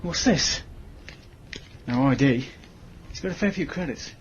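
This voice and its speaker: A man's voice: mid-range voice, lively intonation, a little slow, highs cut off, a little hiss or hum, close to an everyday voice. An actor playing a coarse, greedy male street thug, brash and crude, in a science fiction audio drama. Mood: greedy, aggressive.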